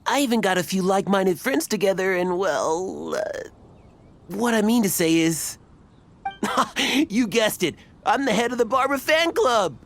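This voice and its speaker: A man's voice: high voice, expressive, measured pace. An actor playing a self-important young male superfan in a video game, gushing and stammering. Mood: gushing, proud. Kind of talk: video game character acting. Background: background music.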